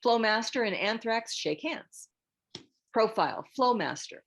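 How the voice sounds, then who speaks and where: A woman's voice: a little high, lively intonation, a little slow. A woman reading scripted screenplay narration, stage directions and scene headings as a narrator.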